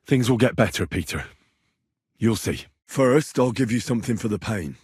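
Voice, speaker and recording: A man's voice: lowish voice, lively intonation, moderate pace, darkish tone, breathy edge, no echo, studio quality. An actor playing an earnest, reassuring young medieval man tending the sick in a video game, speaking plainly and practically. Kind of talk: video game character dialogue.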